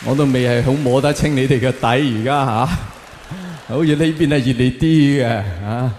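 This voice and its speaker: A man's voice: medium pitch, fairly even intonation, moderate pace, heavy background noise. A man from Hong Kong with a Hong Kong Cantonese accent, performing stand-up comedy in a sardonic, theatrical manner full of rhetorical questions. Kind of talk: stand-up comedy monologue to a live audience. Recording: echoey hall with long reverb.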